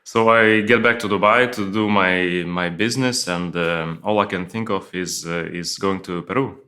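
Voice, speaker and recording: A middle-aged man from Lithuania, telling his life story as an interview guest with many pauses, fillers and restarts. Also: low-pitched, pushed and bright. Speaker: guest sharing testimony. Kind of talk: personal testimony in an interview.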